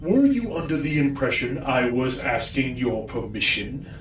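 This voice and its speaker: A man's voice: medium pitch, animated, normal speed, slight background noise. Man voicing an echoing, imperious robot warrior. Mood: demanding, threatening. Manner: commanding, formal, menacing. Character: imperious robotic warrior with a glowing face, demanding his memories. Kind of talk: audio drama acting. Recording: echoing, distant-sounding processed voice.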